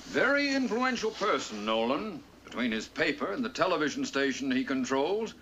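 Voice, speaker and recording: A man's voice: a little high, sing-song, normal speed, a bit bright. A man playing a bossy, scheming middle-aged father in 1950s American sitcom style, pompous and curt. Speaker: actor in a sitcom. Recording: muffled, noisy old television soundtrack.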